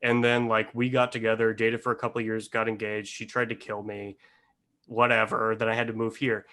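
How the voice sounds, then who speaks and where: A man's voice: lowish voice, monotone, a little fast, bright, forceful tone. A man talking casually and informally on a podcast, with slangy phrasing.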